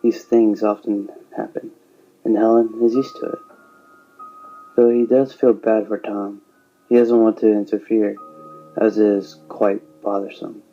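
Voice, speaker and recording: A man's voice: on the low side, level tone, a little slow, long pauses. A man narrating a scripted story in the third person, on a lo-fi recording. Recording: dull lo-fi sound, music behind.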